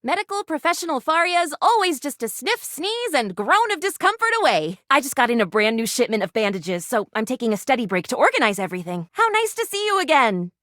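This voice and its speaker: An earnest little first aid kit doctor from a video game, with a high female voice that swoops up and down. She is dramatic and anxious, rambles in fussy formal phrases, and sounds close and dry. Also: big pitch swings, a little fast, few pauses, fairly bright tone, no echo. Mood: bright, eager. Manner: theatrical, rambling, fussily formal. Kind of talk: animated video game character voice acting.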